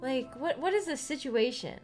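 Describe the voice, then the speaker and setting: A woman with an American accent chatting casually to viewers as a book club streamer, in a giggly, halting, tentative manner. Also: high-pitched, lively intonation. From United States. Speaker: streamer hosting a visual novel book club. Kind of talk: casual chat, discussing a story with viewers. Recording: voice over background music. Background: background music.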